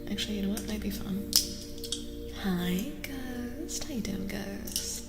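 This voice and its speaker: A woman doing a soft-spoken ASMR tarot reading as a streamer, breathy and often near a whisper, soothing and affirming, gently repeating her phrases. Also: mid-range voice, takes it slowly. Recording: echoey reverb with music behind. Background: music.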